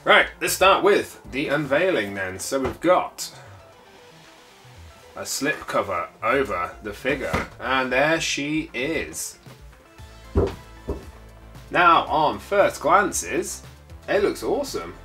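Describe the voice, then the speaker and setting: A man's voice: mid-range voice, sing-song, slow, long pauses, bright, forceful tone, soft background music. A man with a congested, bunged-up voice, chatting casually as he unboxes a collectible. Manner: chatty, rambling, with frequent filler sounds. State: stuffy, congested from a cold. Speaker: toy collector channel host.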